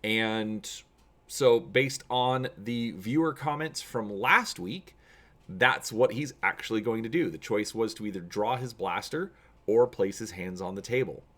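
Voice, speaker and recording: A man's voice: fairly low pitch, unhurried, a bit bright. A man hosting a tabletop gaming channel, chatting to viewers and reading an adventure story aloud as narrator. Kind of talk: casual video intro and dramatic reading of story narration.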